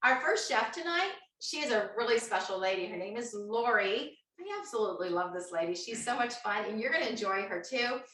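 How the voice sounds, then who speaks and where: A woman's voice: a little high, expressive, a little fast, few pauses, pushed and bright. A woman hosting a live online cooking show, chatty and quizzing her audience with frequent laughs. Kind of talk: upbeat live-stream hosting. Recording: echoey room, distant mic.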